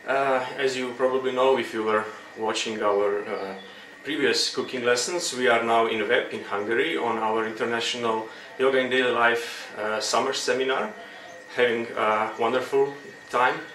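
Croatian guy teaching cooking, kinda halting delivery, far from mic, noisy background. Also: mid-range voice, steady tone, a bit bright. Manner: halting, explanatory. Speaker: cooking instructor. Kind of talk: informal cooking demonstration. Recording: roomy, distant mic with clear background noise.

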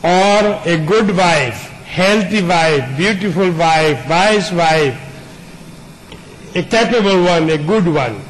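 Slow, high-voiced man giving a spiritual talk. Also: lively intonation, slight background noise, low-quality recording. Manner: unhurried, builds long repeated lists. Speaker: spiritual teacher. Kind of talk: spiritual discourse to an audience.

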